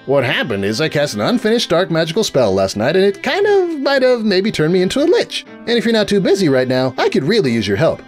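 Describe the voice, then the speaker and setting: Man putting on a high, chirpy undead pony sorceress. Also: huge pitch swings, quick pace, hardly any pauses, soft background music. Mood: earnest. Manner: eager, breezy, brushing off problems. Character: chirpy, stubbornly optimistic young female undead pony sorceress.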